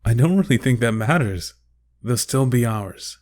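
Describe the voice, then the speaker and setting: A man's voice: medium pitch, expressive, normal speed, a little mellow, lightly acted. A man playing a kind, easygoing ninja master and expectant father in a fan-made anime audio drama. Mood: fond.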